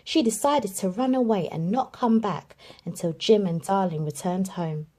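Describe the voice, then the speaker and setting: A woman reading a children's picture book aloud, telling the story expressively with dramatic pauses. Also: mid-range voice, dark tone. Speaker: narrator.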